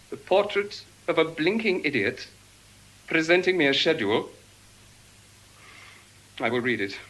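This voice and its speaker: A man's voice: medium pitch, a bit bright, rough recording. An actor playing a proud, pompous nobleman suitor, declaiming classical verse. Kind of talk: classical stage verse acting.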